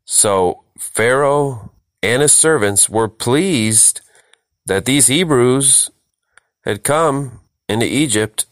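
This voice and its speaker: A man's voice: mid-range voice, fairly bright tone, no echo, studio quality. A man leading a verse-by-verse Bible study, reading scripture and explaining it emphatically, repeating phrases for stress. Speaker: Bible teacher, host.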